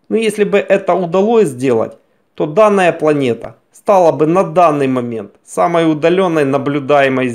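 A man narrating a scripted science documentary in a measured, explanatory manner. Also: fairly high pitch.

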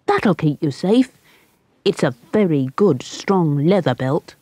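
Lady reading as a calm sensible little boy, kind, husky-ish voice, storybook. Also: sits low, takes it slowly, dry recording, exaggerated voice. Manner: calm and reasonable. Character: sensible, kindly young boy.

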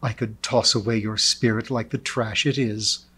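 A man voicing an imperious female angel of birth and death in a storybook, with slow, hissing menace. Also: lowish voice, fairly even intonation, bright, forceful tone, breathy edge. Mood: cold menace.

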